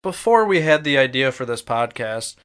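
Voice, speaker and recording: A man's voice: medium pitch, big pitch swings, normal speed, a bit bright, clear, resonant tone. A young man talks as a podcast co-host with an ordinary mid-range voice. He tells stories casually and peppers them with swearing and crude jokes, like banter among friends. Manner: crude, joking. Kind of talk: casual profane storytelling banter.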